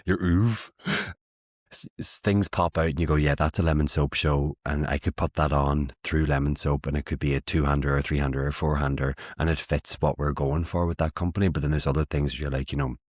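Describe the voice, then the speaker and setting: A man's voice: extremely low pitch, steady tone, a little fast, darkish tone, no room sound, clean studio sound. A man in his late twenties with an Irish accent, chatting casually as an interview guest in a wry, self-deprecating way. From Ireland. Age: late 20s.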